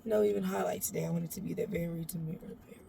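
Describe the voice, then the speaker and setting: Sassy woman with a gravelly voice. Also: fairly even intonation, rapid-fire, noisy background. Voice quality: deep voice. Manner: sassy, playful, talks straight to the viewer and calls them baby. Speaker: makeup vlogger. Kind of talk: chatty makeup tutorial. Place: inside a parked SUV.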